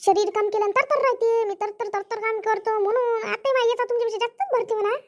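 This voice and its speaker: Cartoon older farm woman, played by a woman: extremely high pitch, Varhadi Marathi accent, bossy, chatty, rustic. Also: fairly even intonation, fast. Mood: matter-of-fact. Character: bossy, hardworking older village woman, farm labour leader. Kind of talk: comic cartoon dialogue.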